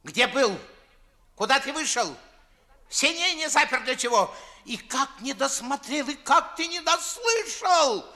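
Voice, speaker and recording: A blustering older master of the house, pompous and scolding. His very high, sharp voice stretches out exclamations in 1950s theatrical verse style, with some room echo. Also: very animated, measured pace, heavily acted. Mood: furious, scolding. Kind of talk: 1950s theatrical radio comedy acting in verse.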